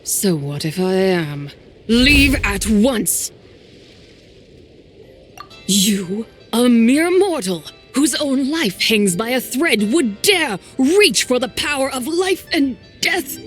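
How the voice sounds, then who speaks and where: A woman's voice: on the high side, sing-song, takes it slowly, soft background music. A woman voicing an imperious, menacing otherworldly spirit in a video game, grave and commanding in archaic speech. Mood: cold, threatening.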